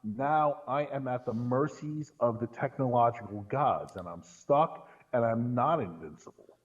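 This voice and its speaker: A man's voice: medium pitch, measured pace, lo-fi sound. A man chatting casually as a podcast guest, telling anecdotes and checking in with his listener as he goes. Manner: storytelling with rhetorical check-ins. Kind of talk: casual anecdotal chat about films.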